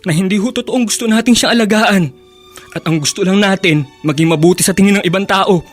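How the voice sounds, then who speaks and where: A man's voice: high-pitched, fairly quick, soft background music. A man with a Filipino accent playing a respectful, caring young grandson in a radio drama, polite and pleading. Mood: hurt, puzzled.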